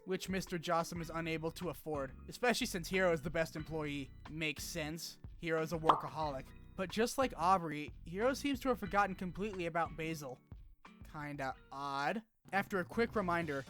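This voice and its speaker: A man's voice: a little high, a bit bright. A man narrating a scripted but casual game review, with informal wry asides and some swearing. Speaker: video essay narrator.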